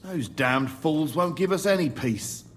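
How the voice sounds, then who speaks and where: An actor playing a weary, grumbling castle guard in a video game. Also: male voice, on the high side, expressive, measured pace.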